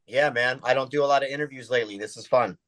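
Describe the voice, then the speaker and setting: A man's voice: mid-range voice, fast talker, fairly bright tone. An American man, a rapper, chatting casually as an interview guest. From United States. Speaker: musician guest, underground rapper.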